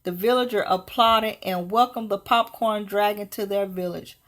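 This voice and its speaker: A woman reading a children's picture book aloud as storyteller, with expressive, deliberate delivery. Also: medium pitch.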